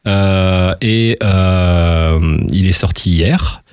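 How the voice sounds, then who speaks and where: A man's voice: sits low, very slow, a little mellow, clean tone, no echo, clean studio sound. A man hosting a radio interview in a casual, teasing, informal way, bantering with his guest.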